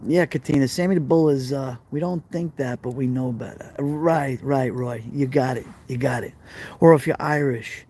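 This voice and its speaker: A man's voice: medium pitch, normal speed, mellow. A middle-aged man with a Brooklyn accent hosting a casual live stream, chatting to viewers and reading their comments, often repeating himself. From Brooklyn, New York. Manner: chatty, repeats phrases, talks straight to viewers.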